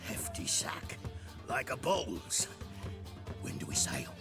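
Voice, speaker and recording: A man's voice: on the low side, wildly swooping pitch, slow, over music, full character voice. A man playing a boozy, swaggering rogue of a sea captain in a video game, with slurred drunken speech. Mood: conspiratorial. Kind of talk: video game character acting.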